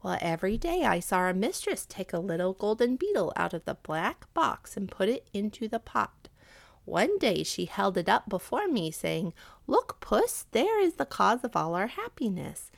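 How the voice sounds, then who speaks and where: Storybook cat: clever, scheming male, confident and persuasive, gravelly, dry close sound; a woman reader putting him on. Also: very animated, moderate pace, dry recording. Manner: confident, explanatory, slightly raised pitch.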